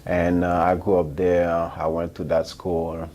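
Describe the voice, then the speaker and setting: A man from Haiti with a Haitian accent, a physician guest answering interview questions in a hesitant, halting way full of ums. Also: low voice, completely flat delivery, a little mellow, slight background noise.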